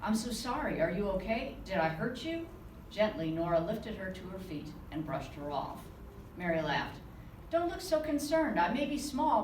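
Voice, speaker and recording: Woman reading her novel aloud in an echoey room. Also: on the low side. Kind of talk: reading aloud to a live audience. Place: conference room. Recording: roomy, distant with background noise.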